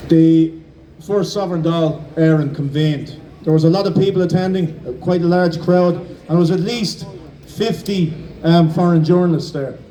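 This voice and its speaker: A man's voice: a little high, moderate pace, warm tone, far from the mic. An Irish-accented man speaking as master of ceremonies, giving a declamatory public address with some hesitations. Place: outdoors in front of a building.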